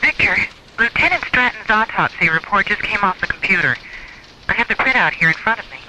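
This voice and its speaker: An actress playing a businesslike, grave doctor heard over a radio link in a science fiction drama. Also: female voice, medium pitch, animated, brisk. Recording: noisy radio channel.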